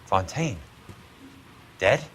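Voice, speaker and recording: A hard-boiled American investigator from a 1940s crime game. His voice sits fairly low and he speaks in a blunt, sardonic, interrogating way. A little noise runs behind him. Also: animated, extremely slow. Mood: terse, businesslike. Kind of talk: dramatic video game acting.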